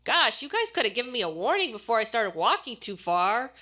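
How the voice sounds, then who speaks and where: A woman's voice: fairly high pitch, sing-song, fairly quick, sharp tone, full character voice. A woman voicing a feisty, fond but bossy old grandmother in a short story reading. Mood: exasperated. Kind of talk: short story character dialogue.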